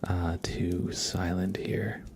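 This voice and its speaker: A man hosting an ASMR livestream in a hushed, unhurried, rambling way, speaking softly and casually close to the microphone. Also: low voice, level tone, gravelly, a little hiss or hum. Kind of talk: live ASMR, soft whispering and quiet casual chat.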